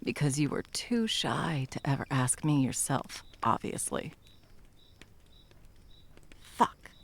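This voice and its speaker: A woman's voice: extremely low pitch, big pitch swings, slow pace, gravelly, exaggerated voice. A woman playing a bitter, sarcastic monster hunter and betrayed lover in a dramatic ASMR roleplay, mocking and foul-mouthed. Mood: bitter, hurt.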